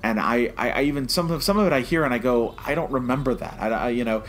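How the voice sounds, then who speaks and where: A man with a mid-pitched voice co-hosts a review podcast. He argues his opinions emphatically and repeats phrases for stress. Some noise sits in the recording. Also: quick pace, a bit bright. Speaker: podcast co-host.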